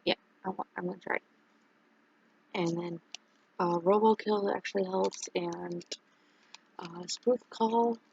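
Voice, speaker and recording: Streamer, casual chat; heavy vocal fry, breathy edge, dull lo-fi recording, rambling delivery. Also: mid-range voice, steady tone, a little mellow, a little hiss or hum. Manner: rambling, halting, many fillers and restarts.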